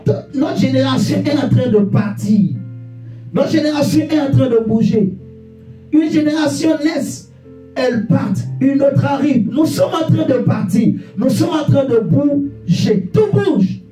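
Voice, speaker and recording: A man's voice: very high voice, expressive, a little slow, mellow. A man preaching a sermon in an emphatic, exhorting manner, repeating phrases and putting rhetorical questions to his listeners. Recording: echoey room, distant mic, faint music.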